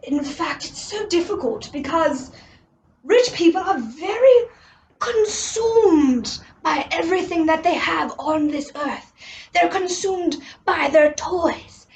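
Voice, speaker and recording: A woman voicing Jesus as a solemn teacher for children, slow and theatrical, with hushed breathy words. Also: extremely high pitch, pitched above the performer's own voice, full character voice. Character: grand, solemn Jesus teaching his disciples. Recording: slightly dull recording with faint noise.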